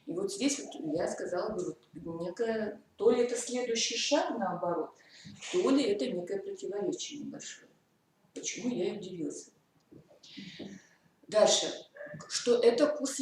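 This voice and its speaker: Lady teacher-trainer going thru points one by one, lots of ums, mid pitch voice, far off in a big hall. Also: a little mellow. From Komi Republic, Russia. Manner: methodical, lists points step by step with fillers. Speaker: lecturer on school curriculum. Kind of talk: professional training lecture. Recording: echoey hall from far off, faint noise.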